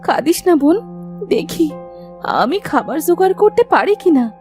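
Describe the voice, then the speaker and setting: A high, expressive girl's voice for a cartoon fairy tale. She is a poor elder sister, protective and soothing. Soft music sits behind her. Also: moderate pace. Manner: soothing, caring. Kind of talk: Bengali cartoon voice acting.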